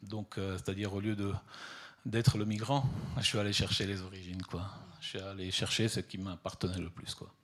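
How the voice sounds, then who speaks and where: A middle-aged man from southern Italy speaks about his work in a fairly low voice. He gropes for words, repeating himself and filling gaps with hesitations. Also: little pitch movement, a bit bright. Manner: halting, many fillers and repeated words. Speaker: photographer. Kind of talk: hesitant conversational talk at an exhibition.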